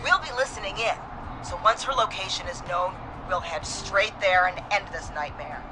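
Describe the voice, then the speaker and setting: A woman's voice: mid-range voice, normal speed, music in the background, close to an everyday voice, poor audio. A woman playing a confident, folksy small-town police deputy, speaking with casual resolve in a dramatic scene. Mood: brisk, determined. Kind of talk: dramatic game voice acting.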